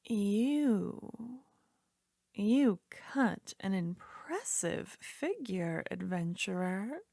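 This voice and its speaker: A woman voicing a flirtatious, secretive female witch in an ASMR roleplay, speaking in slow, breathy whispers. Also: medium pitch, very animated, warm tone, no echo, clean studio sound, full character voice. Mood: coaxing.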